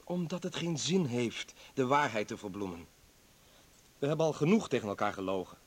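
An actor in a radio play playing a bitter, defiant doctor who was a political prisoner, arguing with sarcasm and indignation. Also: male voice, medium pitch, expressive, moderate pace, lightly acted. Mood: bitter, sarcastic. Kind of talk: dramatic radio play acting.

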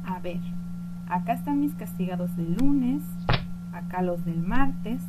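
A woman's voice: on the low side, expressive, takes it slowly. A woman playing a busy, sarcastic, distrustful female prefect in an amateur radio play. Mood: busy, irritated. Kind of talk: amateur Spanish-language radio play acting. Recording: very noisy home recording, loud ambience.

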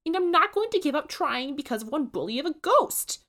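A woman's voice: sits high, big pitch swings, a little fast, fairly bright tone, voice pushed up, heavily acted. A woman voicing a middle-aged diner owner with a rich Southern drawl, earnest and emotional. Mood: pleading.

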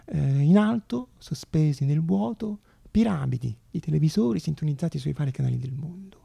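A man performing a theatrical monologue as a storyteller, with a raspy, gravelly voice and dramatic delivery full of deliberate pauses. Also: on the high side, expressive, warm tone. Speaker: stage actor and storyteller.

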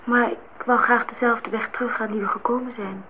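An actress as a lovestruck, naive young woman in a radio play, quietly stubborn. Her voice is fairly high and she answers in short, plain phrases. The recording is thin as a telephone line, with faint noise. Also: steady tone, moderate pace, close to an everyday voice. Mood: subdued, resigned. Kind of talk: radio drama acting. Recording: thin phone-like sound, noisy old radio recording.

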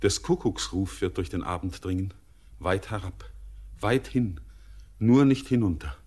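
An actor playing a haunted, yearning young stranger in a classic radio drama, declaiming poetic in a solemn, intense, husky voice. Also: male voice, deep voice, lively intonation, measured pace, darkish tone, full character voice. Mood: dark foreboding.

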